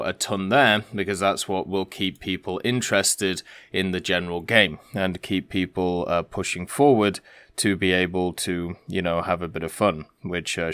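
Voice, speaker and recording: A man's voice: low voice, a bit bright, clean tone. A man giving casual, rambling commentary as the host of a gaming video.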